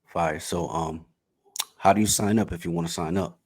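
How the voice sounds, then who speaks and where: A man interviewing a guest on a podcast, answering in a relaxed way with short agreements and questions. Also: low-pitched, moderate pace, husky. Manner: laid-back, short agreeing replies and questions. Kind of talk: casual podcast conversation.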